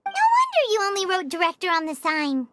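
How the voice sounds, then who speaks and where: Squeaky, chirpy little girl. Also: huge pitch swings, fairly quick, pushed and bright, no echo, soft background music, full character voice. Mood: frank. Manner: childlike, blunt, inquisitive. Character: curious, chirpy little girl, cute and frank. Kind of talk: video game cutscene acting.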